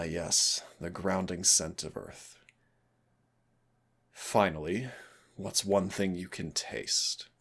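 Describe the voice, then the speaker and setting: Man acting a deep, gravelly demon roommate. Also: fairly bright tone, exaggerated voice. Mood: soothing, gently teasing. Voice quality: gravelly, husky, breathy low voice. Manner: teasing, intimate, theatrical. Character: teasing male demon roommate, winged, proud royal, protective and wounded. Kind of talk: audio roleplay acting addressed to the listener.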